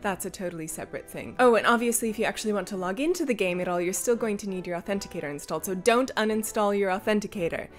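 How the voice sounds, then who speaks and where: A woman's voice: medium pitch, racing, few pauses, soft background music. A woman co-hosting a gaming news show, reading scripted comic tech news in a wry, emphatic way.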